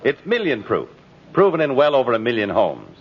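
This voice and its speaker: Animated 1950s male radio announcer. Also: mid-range voice, a little fast, breathy edge. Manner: polished, emphatic salesmanship. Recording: muffled old 1950s radio recording.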